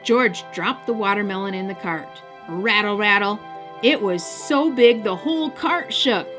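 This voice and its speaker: A woman hosting a children's story time, narrating a scripted picture book in a deliberate, expressive style. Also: medium pitch, music in the background. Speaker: storyteller, host.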